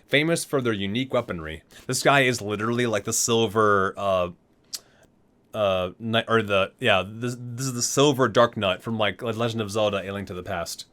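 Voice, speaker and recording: A man's voice: mid-range voice, a bit bright. A man hosting a gaming ASMR video, reading entries aloud and dropping into casual, amused asides.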